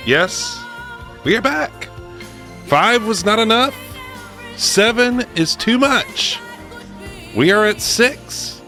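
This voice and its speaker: A man's voice: high voice, lively intonation, slow, long pauses, sharp tone, faint music behind. A man hosting a comedy podcast, making playful, rambling introductions in a casual chatty style. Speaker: podcast host.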